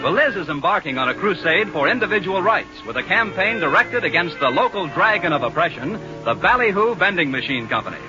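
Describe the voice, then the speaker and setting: A man's voice: high-pitched, big pitch swings, brisk. A man playing a mock-heroic announcer, narrating an old radio comedy. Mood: mock-grand. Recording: muffled old radio recording. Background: music.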